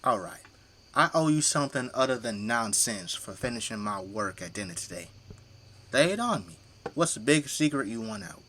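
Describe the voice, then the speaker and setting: Casting: a jovial, folksy Midwestern dad in an audio play, middle-aged, mid-pitched, full of teasing jokes. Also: male voice, animated, moderate pace, bright, forceful tone, slight background noise. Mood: relaxed, candid. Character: folksy, jovial Midwestern family man, zombie-fighting janitor. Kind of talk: audio drama acting.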